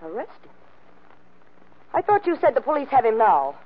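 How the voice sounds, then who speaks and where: An actress playing a wary, bitter scorned wife in the style of a 1940s radio drama. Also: female voice, on the high side, wildly swooping pitch, moderate pace, slight background noise. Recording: muffled old radio recording.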